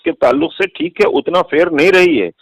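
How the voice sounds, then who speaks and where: An insistent man with a Hyderabadi accent explains himself over a recorded phone call. His voice sits a little high for a man and is dry, with no room echo. He argues defensively, restating phrases to drive them home. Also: wildly swooping pitch, brisk, creaky, breathy edge. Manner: insistent, repeats phrases for emphasis. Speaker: political activist being questioned. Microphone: telephone.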